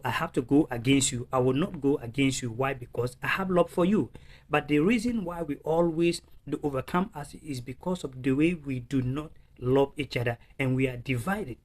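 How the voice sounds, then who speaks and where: Male channel host, earnest and urging, repetitive, filler-heavy, mid-pitched. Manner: earnest, urging, repeats phrases with many fillers. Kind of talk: impassioned political commentary.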